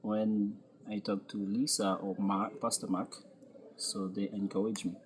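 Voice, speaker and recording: A man with a Haitian accent, a technical school foreman, speaking slow, halting English and searching for words. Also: lowish voice, little pitch movement, a little hiss or hum. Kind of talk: halting testimonial in second language.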